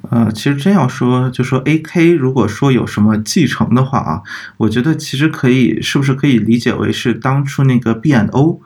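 A man co-hosting a podcast, explaining audio gear in a measured, hedging, conversational way. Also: mid-range voice, darkish tone, husky. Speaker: podcast co-host. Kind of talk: casual discussion of audio gear.

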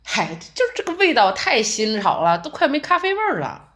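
A cautious, old-fashioned male theater director in an audio drama , played by a woman, who keeps cutting in to refuse ideas. The voice is a little high, with a very lively, bouncing pitch. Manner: flustered, interrupting, quick to refuse. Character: conservative middle-aged male theater director, dismissive. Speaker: director character.

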